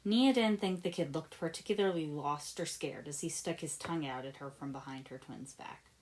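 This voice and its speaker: A woman reading fan fiction aloud as an expressive story narrator. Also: low-pitched, normal speed, slight background noise, low-quality recording. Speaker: podfic reader.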